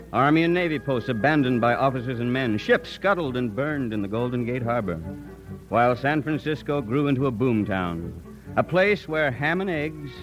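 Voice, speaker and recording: A man playing a composed American merchant who narrates his own story in a measured old-time radio drama style. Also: medium pitch, highs cut off, natural voice.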